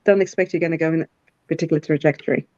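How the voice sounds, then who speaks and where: A woman's voice: low voice, fairly even intonation, racing, darkish tone, no room sound, muffled recording. A middle-aged woman, a CEO guest in a live online interview who talks thoughtfully with many fillers and restarts. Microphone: video call.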